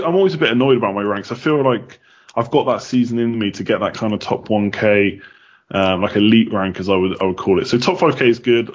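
A man's voice: lowish voice, lo-fi sound. A man from the United Kingdom answering casually as a podcast guest, chatty with many ums.

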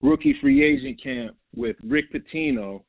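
A man's voice: medium pitch, huge pitch swings, moderate pace, vocal fry, dry recording. A young man from New York, a basketball guest in a casual interview, rambling and repeating himself with lots of "like" and "bro". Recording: tinny phone-like line, dry.

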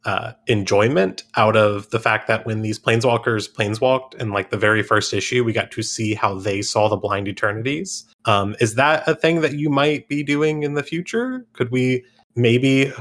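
A man's voice: medium pitch, steady tone, moderate pace, few pauses, fairly bright tone. A man hosting a podcast, asking questions casually in a polite, slightly tentative way. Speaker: podcast host, interviewer.

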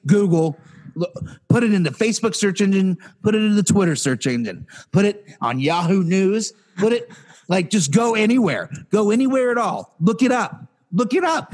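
A young man with a fairly high voice rants through a casual podcast chat. He is exasperated and animated, piling up restarts and repeated words as he builds his point. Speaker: podcast co-host.

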